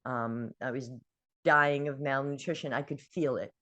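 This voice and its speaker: An older woman from New England, a guest telling her personal story in an earnest, emotional way with frequent pauses and ums. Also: very deep voice, steady tone, fairly bright tone. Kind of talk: personal testimony.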